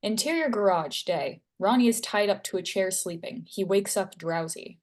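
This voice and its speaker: Mid-pitched woman narrating a screenplay. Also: moderate pace. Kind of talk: reading stage directions at a table read.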